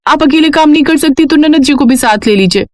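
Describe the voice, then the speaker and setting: A woman's voice: high-pitched, brisk, no room sound, studio quality, voice pushed up, lightly acted. A woman voicing a vain, bossy young bride in a moral storybook tale, haughty as she orders her elders around. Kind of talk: Hindi moral storybook character voice.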